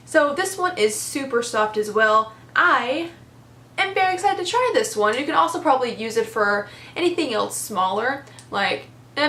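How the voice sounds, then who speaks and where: A woman's voice: high voice, a bit bright, reverberant. Congested, chatty young woman reviewing makeup. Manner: chatty, enthusiastic, lots of "super" and "very". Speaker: beauty vlogger. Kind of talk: casual product review chat.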